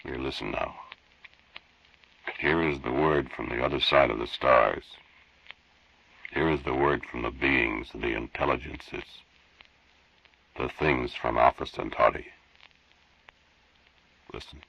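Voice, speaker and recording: A man's voice: a bass voice, slow, creaky, pauses often, pushed and bright, breathy edge, highs cut off, heavily acted. An actor playing a grave, insistent man who warns of an alien invasion in an old radio drama. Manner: intense, insistent, ominous. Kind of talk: 1940s radio drama acting.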